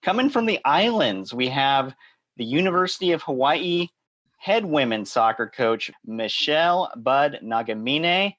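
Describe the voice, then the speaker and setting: A man's voice: mid-range voice, bright, forceful tone, dry recording. A man hosting an interview, asking questions in an upbeat, enthusiastic way. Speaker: host. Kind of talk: conference interview show.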